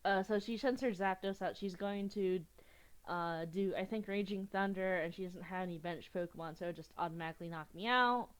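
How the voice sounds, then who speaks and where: A woman's voice: medium pitch, a little fast, clean tone. A young woman with a cold, sniffling a little as she chats casually through an online card game, giggling and restarting her sentences. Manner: chatty, giggly, restarts often. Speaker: gaming youtuber.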